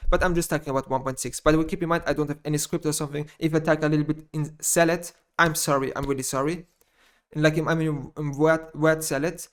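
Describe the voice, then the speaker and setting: A man speaking English with a German accent, his voice sitting a little high for a man. He talks off the cuff in a personal monologue, rambling, stumbling over words and repeating himself as he goes. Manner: rambling, halting, repeats phrases, frequent restarts. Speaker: gaming YouTuber.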